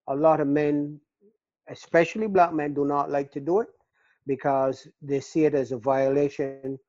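Older Barbadian man, earnest and halting. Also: mid-range voice, darkish tone, no echo. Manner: earnest, halting with repeated words. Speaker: interviewee. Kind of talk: casual interview. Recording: dull, dry lo-fi recording.

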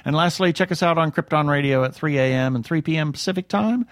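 A man's voice: a little high, fast talker, no room sound, studio quality. A man hosting a podcast, casually reading announcements and signing off. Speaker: podcast host. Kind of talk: casual webcast announcements and sign-off.

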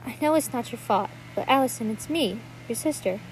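A woman's voice: on the high side, expressive, a little fast, a little hiss or hum, low-quality recording. A woman playing an earnest, pleading young sister in a dramatic monologue reading.

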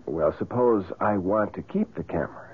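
A man's voice: deep voice, normal speed, slightly rough. An actor in an old-time radio mystery playing a dogged, questioning man with an earnest, insistent manner. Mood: wary. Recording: noisy, tinny old radio recording.

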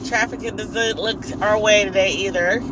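A woman with a hoarse, raspy voice, chatting casually as she drives to work and often laughing and clearing her throat. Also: medium pitch, fairly even intonation, moderate pace, background noise. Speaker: vlogger. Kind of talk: casual chat while driving. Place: in a car on a commute.

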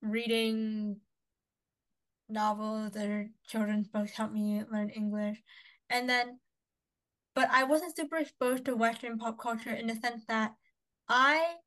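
Chatty young woman, higher voice. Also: steady tone, slow, pauses often, a bit bright, low-quality recording. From Canada. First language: Cantonese. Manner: chatty, upbeat, lots of fillers. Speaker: podcast co-host. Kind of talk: casual chat.

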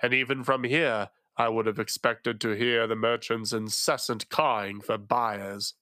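A man voicing a solemn, tentacled male illusionist knight with a resonant voice and a slow, grave delivery. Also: mid-range voice, fairly even intonation, sharp tone, dry recording, studio quality.

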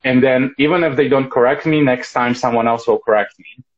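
A man's voice: medium pitch, fairly even intonation, fairly quick. A man whose first language is Russian, answering interview questions in English, fluent and thoughtful with a few restarts. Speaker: guest. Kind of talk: casual interview. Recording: dull lo-fi sound.

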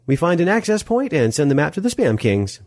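Man acting as young woman, high lilting pitch, bold, teasing, snappish, audiobook character, dry sound. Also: sing-song, fairly quick, a little mellow, no room sound.

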